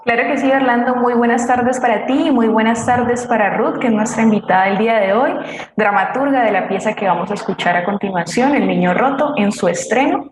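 A woman's voice: on the high side, brisk. A woman co-hosting, speaking in a formal, greeting style to an online audience. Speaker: co-host. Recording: echoey room with noticeable background noise.

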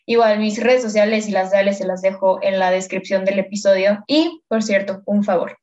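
A woman's voice: mid-range voice, fairly even intonation, moderate pace, a little mellow. Young woman hosting a chill podcast, friendly rambling talk, kinda muffled lo-fi audio. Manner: friendly, rambling, laid-back. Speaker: podcast host. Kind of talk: casual chat. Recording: slightly dull lo-fi podcast audio.

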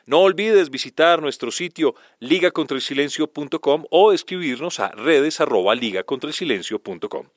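A man's voice: on the high side, lively intonation, normal speed, few pauses, no echo, studio quality. Man reading an upbeat scripted podcast intro. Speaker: podcast announcer.

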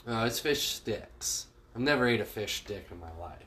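A man's voice: lowish voice, animated, moderate pace, bright, forceful tone, a little hiss or hum. A man co-hosting a podcast in casual chat, talking with a stuffy nose in a joking, sarcastic way. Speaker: podcast co-host. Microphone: phone call.

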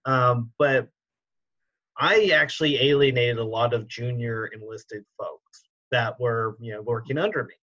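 Easygoing podcast host, slightly dull sound. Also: male voice, mid-range voice, measured pace, pushed and bright. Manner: easygoing, many fillers and restarts. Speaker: podcast host, military veteran. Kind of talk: casual interview chat.

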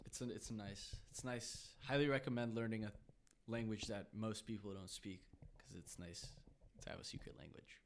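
A man's voice: lowish voice, steady tone, normal speed, a little hiss or hum. Tired young man telling loose stories. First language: Russian. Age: young adult. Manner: loose, laughing storyteller, heavy slang. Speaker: podcast guest. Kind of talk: casual chat.

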